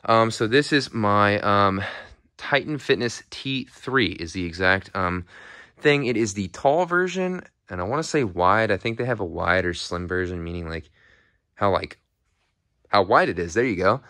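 A man's voice: lowish voice, animated, normal speed, bright, forceful tone, no room sound, clean studio sound. A young American man chatting casually and off the cuff as a fitness vlogger, in a laid-back, rambling, slangy way. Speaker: fitness vlogger giving a home gym tour. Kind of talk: casual off-the-cuff vlog chat. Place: indoor metal-walled garage-shop gym. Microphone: handheld phone held behind the camera view.

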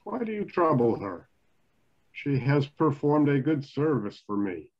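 Older man voicing solemn teacher, scripture reading, measured delivery, muffled video-call audio. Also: mid-range voice, very animated, a little mellow. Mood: firm, solemn.

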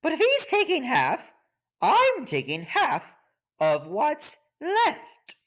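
A self-righteous female storybook hippo, voiced by a man. The pitch is pushed way up, and the words are drawn out in a prissy, indignant way. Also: wildly swooping pitch, slow, fairly bright tone, heavily acted.

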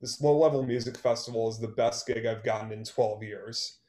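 Bitter, guarded male songwriter played by a man for a stage-play reading. Mid-pitched, terse, sarcastic, in a roomy echo. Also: fairly even intonation, normal speed, a little mellow, lightly acted. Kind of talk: online one-act play table read. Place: small room at home, video call. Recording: roomy, echoey video-call sound.

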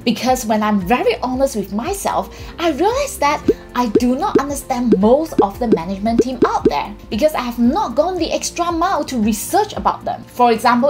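A woman's voice: high voice, a little mellow. A woman in her thirties hosting an investing channel, talking earnestly to camera in a small room and putting rhetorical questions to herself. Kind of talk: explainer vlog to camera. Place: small indoor room with bookshelf and plant.

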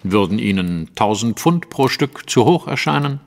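An actor playing a composed, commanding Victorian detective in a German audio drama, speaking precisely and with theatrical authority. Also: male voice, medium pitch, lively intonation, normal speed, vocal fry, in a large hall. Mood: brisk, assured. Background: music.

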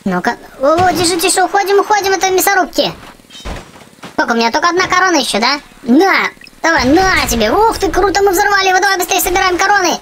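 A woman hosting a gaming channel for children, giving chatty, excitable running commentary on her play. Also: very high voice, fast talker, a little hiss or hum. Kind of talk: casual game commentary for children. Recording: game music behind the voice. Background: video game music.

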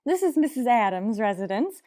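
A woman's voice: a little high, sing-song, normal speed, dark tone, no room sound. A woman playing a formal, matter-of-fact household telephone answerer in an old-time radio drama, polite and grave.

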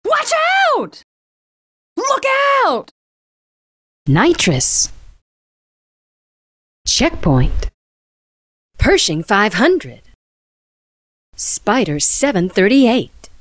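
A spirited arcade announcer for a racing game. She delivers short, separate, very slow-paced calls with big pitch swings, recorded dry. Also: huge pitch swings, a bit bright, no echo, full character voice. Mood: excited.

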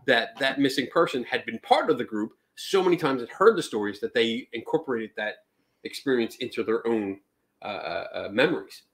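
A man's voice: medium pitch, animated, fairly quick, fairly bright tone. A man hosting a casual panel chat in a living room, rambling with many restarts and acting out what people said. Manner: rambling, restarts often, acts out quotes. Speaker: podcast host.

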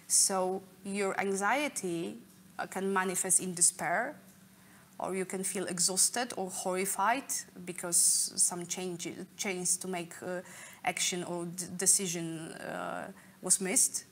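A middle-aged woman giving an earnest explanatory talk, often pausing to search for words. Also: fairly low pitch, fairly even intonation, a bit bright, slight background noise. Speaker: speaker leading an online talk. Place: indoor room, office-like.

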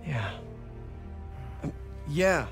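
An actor playing an earnest, awkward young American science whiz in a video game, hesitant and stammering. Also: male voice, on the high side, very animated, drawn-out words, soft background music, full character voice. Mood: nervous, flustered. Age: young adult. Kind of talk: naturalistic cinematic game acting.

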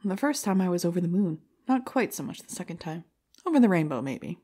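A woman's voice: fairly low pitch, big pitch swings, brisk, warm tone, breathy edge. A woman playing a bitter, cynical veteran comedian in an audio drama, dismissive and sardonic. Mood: jaded, scornful.

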